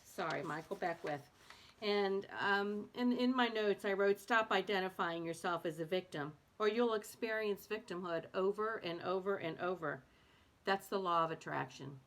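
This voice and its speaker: A woman hosting a read-aloud, sharing a self-help book in a casual, slightly halting way with small self-corrections. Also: mid-range voice. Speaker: host of a read-aloud series.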